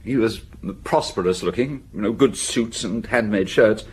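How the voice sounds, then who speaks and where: An actor playing a penniless middle-aged scoundrel in a radio drama, husky, self-pitying and ingratiating, with a theatrical touch. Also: male voice, lowish voice, normal speed, a little hiss or hum, natural voice. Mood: eager, ingratiating. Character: penniless, wheedling middle-aged scoundrel, an old friend of police. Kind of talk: BBC radio drama acting.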